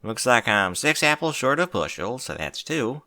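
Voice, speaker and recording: A man's voice: medium pitch, sing-song, normal speed, sharp tone. A man voicing a folksy, skeptical female farm pony with a Southern US drawl in a cartoon-style story reading. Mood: grudging. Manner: drawling country talk, plain-spoken.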